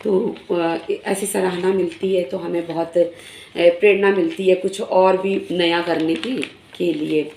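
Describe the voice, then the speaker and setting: Hoarse woman teacher, gravelly and echoey. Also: on the low side, fairly even intonation, darkish tone, a little hiss or hum. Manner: earnest, frequent filler pauses. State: hoarse, recovering sore throat. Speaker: primary school teacher. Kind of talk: casual explanatory talk to fellow teachers. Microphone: phone camera in selfie mode. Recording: echoey room.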